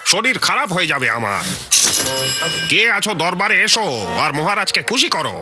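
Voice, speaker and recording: A man's voice: sits high, very animated, normal speed, heavy background noise. A man playing a spoiled, pompous, complaining king in a comic cartoon dub. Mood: petulant, commanding. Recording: loud music behind the voice.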